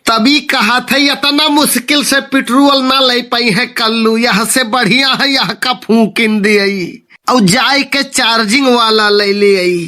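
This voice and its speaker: Exasperated village dad for cartoon comedy, played by a man: Awadhi accent, slow delivery, sharp bright tone, sarcastic grumbling. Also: very high-pitched, exaggerated voice. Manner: complaining, sarcastic. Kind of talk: comic cartoon dialogue.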